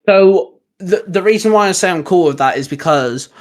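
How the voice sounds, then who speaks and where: A man's voice: sits high, animated, moderate pace. A man hosting a podcast in a casual, conversational style. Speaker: podcast host and audio drama writer. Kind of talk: casual chat.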